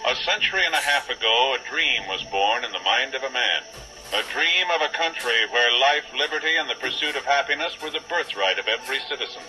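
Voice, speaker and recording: A man's voice: medium pitch, lively intonation, brisk, natural voice, low-quality recording. A man narrating a 1940s radio drama in a solemn, declamatory style. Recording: old radio broadcast, loud music behind.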